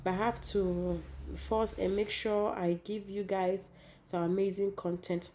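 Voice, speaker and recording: Hoarse woman, casual cooking host, warm low-bright timbre, talks straight to viewers. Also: flat delivery, unhurried. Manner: chatty, repeats herself, addresses viewers as guys. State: hoarse, losing her voice.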